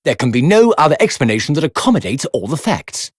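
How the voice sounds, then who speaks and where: A man's voice: medium pitch, big pitch swings, quick pace, husky, no echo, clean studio sound. An actor playing a pompous, self-assured British consulting detective in a theatrical video game performance, with a grandiose showman's delivery. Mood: smug.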